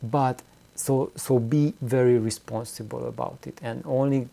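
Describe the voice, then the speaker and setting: A middle-aged man giving an unscripted, reflective explanation to viewers, haltingly and with words repeated for emphasis. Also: mid-range voice, steady tone, creaky, mellow. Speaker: audio hobbyist video host.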